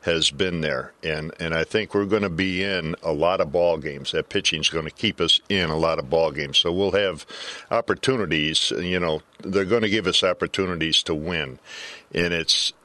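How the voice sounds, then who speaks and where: An older man talking baseball as a color commentator in a casual panel chat, halting and deliberate, often repeating words. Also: deep voice, bright, forceful tone, dry recording. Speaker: color commentator, former coach. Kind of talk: casual sports panel discussion.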